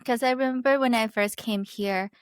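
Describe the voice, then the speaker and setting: A woman's voice: a little high, normal speed, clear, resonant tone, no echo, clean studio sound. Hesitant woman, Thai first language. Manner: hesitant, many fillers. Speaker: guest. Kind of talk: casual conversation.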